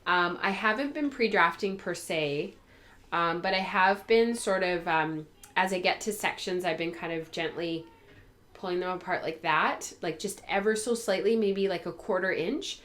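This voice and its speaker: Low-pitched woman chatting, slightly worn by a cold. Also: moderate pace, a bit bright. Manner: informal, rambling, thinking aloud. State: getting over a cold, voice tiring. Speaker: host of a spinning and fibre craft show. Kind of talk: casual chat to viewers.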